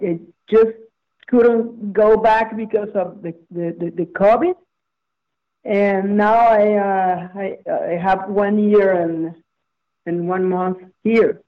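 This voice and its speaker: A woman from Venezuela with a Venezuelan Spanish accent, a native Spanish speaker answering interview questions in a halting way, with many fillers and restarts. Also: mid-range voice, lo-fi sound. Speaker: guest.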